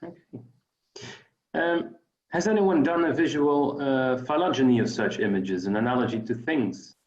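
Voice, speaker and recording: A man's voice: medium pitch, normal speed, darkish tone. A man asking questions conversationally as an interviewer. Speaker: interviewer, special collections curator. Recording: echoey room, dull muffled sound.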